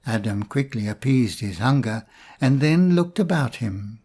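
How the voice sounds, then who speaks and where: Guy reading an old fairy tale out loud, slow and measured, expressive storyteller style. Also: mid-range voice, slightly rough. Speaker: storybook narrator.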